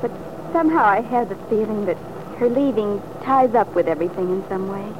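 A woman's voice: medium pitch, expressive, a little fast, natural voice. An actress playing an earnest, devoted and wistful young woman in an old-time radio drama, speaking hesitantly. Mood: wistful, probing. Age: young adult. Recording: muffled, noisy vintage radio recording.

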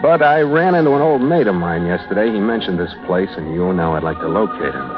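A man's voice: deep voice, lively intonation, fairly quick. A man with an American accent playing a tough, suspicious ship's skipper, narrating a mystery in a terse, wisecracking radio-drama style. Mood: smooth, probing. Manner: terse, wisecracking, hard-bitten. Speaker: lead actor and narrator. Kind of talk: hardboiled 1940s-50s American radio acting and narration. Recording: muffled old radio recording with music behind.

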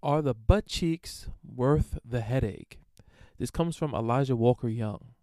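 Smooth, mellow-voiced man riffing crudely. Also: medium pitch, a little slow, no echo, studio quality. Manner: crude, irreverent, rambling with frequent fillers. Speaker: podcast host answering listener emails. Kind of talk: casual solo monologue.

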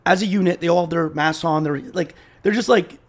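A man's voice: fairly high pitch, brisk. A man hosting a podcast in casual conversation, laid-back and often chiming in to agree. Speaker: podcast host.

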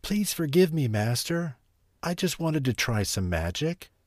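An eager, mischievous young apprentice boy speaks in a raised, lively voice, as a man puts him on. The sound is dry and close, with no room around it. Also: on the high side, a little slow, dry recording, voice pushed up. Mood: pleading. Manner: eager, excitable.

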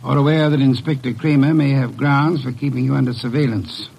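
Heavyset shrewd detective, classic radio drama, raspy creaking voice, pompous precise diction, imperious. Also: male voice, mid-range voice, fairly even intonation, fairly quick, darkish tone, low-quality recording. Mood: probing, dry.

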